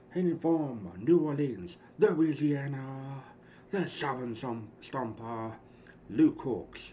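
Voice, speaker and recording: A hoarse man in his thirties casually calling the action of a wrestling game he is streaming, rambling and clearing his throat often. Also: medium pitch, slow pace, dark tone. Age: 30s. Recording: dull lo-fi stream audio with clear noise.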